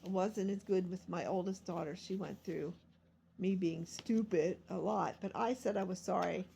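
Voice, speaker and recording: A woman's voice: on the low side, normal speed, creaky, mellow, slight background noise. A woman in her sixties talking about her life in a rambling, confessional vlog, meandering and self-deprecating. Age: 60s. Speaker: vlogger and self-published author.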